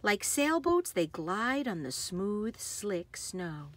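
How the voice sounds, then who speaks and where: Animated, slow-paced woman reading a picture book. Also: medium pitch, dry recording. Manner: animated, emphasizing action words. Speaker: read-aloud host. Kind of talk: expressive read-aloud of a children's picture book.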